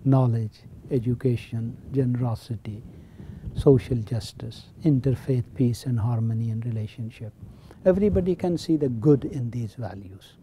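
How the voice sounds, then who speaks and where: A man's voice: mid-range voice, warm tone, a little hiss or hum. A middle-aged man from Pakistan with a Pakistani accent, earnestly explaining ideas in an interview as a college teacher and chaplain. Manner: earnest, explanatory, repeats key phrases. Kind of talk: interview talk.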